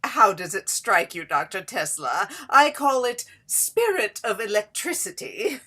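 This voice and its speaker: A woman's voice: medium pitch, big pitch swings, unhurried, sharp tone, breathy edge, heavily acted. A woman playing a flirtatious Gilded Age society hostess in a period play reading, speaking in an affected, coy and theatrical way. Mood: gracious, showy. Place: indoors, remote online reading.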